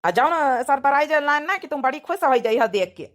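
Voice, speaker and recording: Woman doing young village big brother in a cartoon, awadhi accent, highish voice, proud and teasing. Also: big pitch swings, fairly quick. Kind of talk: cartoon comedy dialogue.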